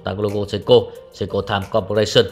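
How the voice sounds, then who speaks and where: A young man talks quickly through a watch review in a low voice. His delivery is chatty salesman patter aimed at his viewers, with faint music playing underneath. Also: level tone. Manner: brisk salesman patter, calls viewers "brothers". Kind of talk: product review with close-up narration.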